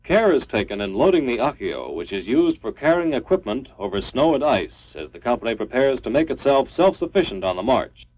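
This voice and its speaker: A man's voice: medium pitch, sing-song, a little fast, few pauses, darkish tone. A man reading a scripted 1950s military training film narration in a formal, clipped, instructional manner. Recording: dull lo-fi 1950s film soundtrack.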